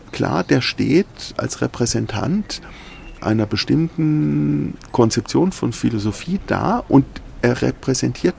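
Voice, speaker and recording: A man's voice: mid-range voice, vocal fry, a little mellow, slight background noise. A man, a university professor, recalls his student years in a courtyard outdoors, hesitant and often ending with "ja". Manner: hesitant, repeating words, tag "ja". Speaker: professor interviewee. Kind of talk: casual recollection.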